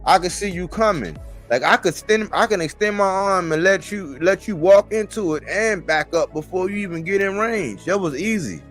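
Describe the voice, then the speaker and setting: Higher-pitched man chatting casually about sports. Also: expressive, moderate pace, few pauses, fairly bright tone, faint music behind. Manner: conversational, colloquial, repeats phrases for emphasis. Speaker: boxing commentator on a live stream.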